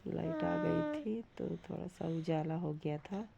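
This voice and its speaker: A woman with a hoarse voice from a cold, giving a plain, rambling, casual voice-over for a home cooking vlog. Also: on the low side, measured pace. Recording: dull lo-fi sound, light background noise.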